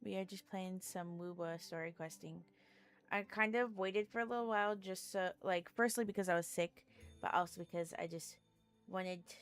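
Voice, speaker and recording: A woman game streamer chatting casually with her viewers. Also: on the low side. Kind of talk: casual livestream chat. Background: faint music.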